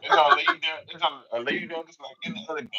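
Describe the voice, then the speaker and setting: A man's voice: a little high, wildly swooping pitch, very fast, creaky, loud music behind the voice. A middle-aged man hosting a phone livestream from home, chatting in a laid-back way and often repeating himself. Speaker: livestream host. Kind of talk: casual chat. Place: room at home, indoors. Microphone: phone held close. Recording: dull, noisy phone livestream audio.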